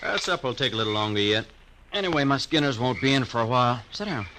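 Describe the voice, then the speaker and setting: An actor playing a talkative, hospitable buffalo hunter in a 1950s radio drama, with a folksy manner. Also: male voice, mid-range voice, lively intonation, quick pace, background noise, natural voice. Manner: folksy, twangy, chuckling.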